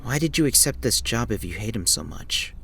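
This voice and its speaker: Man playing a wary, expressive young-hero-type ex-cop. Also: medium pitch, a little fast, slight background noise. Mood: polite, guarded. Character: wary, principled male former police officer turned fugitive. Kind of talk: audio drama acting.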